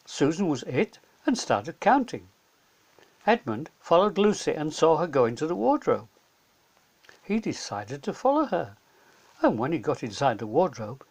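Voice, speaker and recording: Husky, animated male storyteller. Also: a little high, normal speed. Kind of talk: scripted children's story narration.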